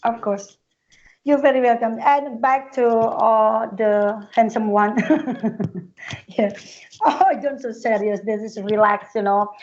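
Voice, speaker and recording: A woman's voice: fairly high pitch, slow, a little mellow. A woman hosting an online English conversation group, encouraging the guests to relax, speaking simple, non-native English. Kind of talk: casual chat. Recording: muffled online call.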